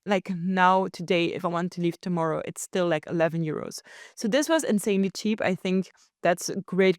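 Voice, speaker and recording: A young woman from Belgium hosts a solo podcast and tells her travel story in a chatty, lively way, with quick laughs. Her voice is smooth and resonant. It sounds close and dry, with no room around it. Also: mid-range voice, no room sound. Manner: chatty and animated, laughs often, slips into quoted voices. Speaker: podcast host.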